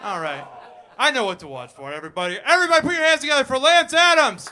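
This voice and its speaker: A man's voice: very high-pitched, lively intonation, quick pace, sharp tone. A man hosting a live comedy show, teasing the comics as he brings them on and off. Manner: brash, teasing introductions.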